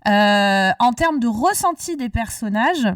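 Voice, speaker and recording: A woman's voice: a little high, expressive, a little slow, no echo, clean studio sound, recorded from a distance. A woman hosting a casual discussion, talkative and rambling, often restarting her sentences. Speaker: podcast host.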